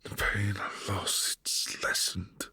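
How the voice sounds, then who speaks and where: A man voicing a brooding, possessive adult vampire prince in a boyfriend ASMR audio drama, speaking softly and often in a hushed whisper. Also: takes it slowly, no echo. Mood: melancholy.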